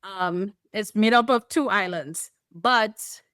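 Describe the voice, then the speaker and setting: Slow, smooth Trinidadian woman. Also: medium pitch, a bit bright, no room sound, studio quality. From Trinidad and Tobago. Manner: explanatory, measured, with light laughs. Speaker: guest. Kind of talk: podcast interview.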